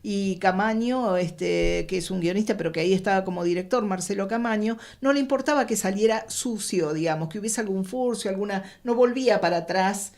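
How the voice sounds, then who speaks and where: A woman's voice: mid-range voice, fairly quick, hardly any pauses, darkish tone. A woman with an Argentine accent talking casually as a guest writer in a panel conversation. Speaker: radio drama writer, interview guest.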